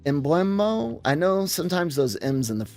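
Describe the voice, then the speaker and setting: A man's voice: a little high, expressive, moderate pace, a little mellow, soft background music. A man in casual podcast chat, rambling and joking with slangy phrasing. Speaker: sports podcast panelist.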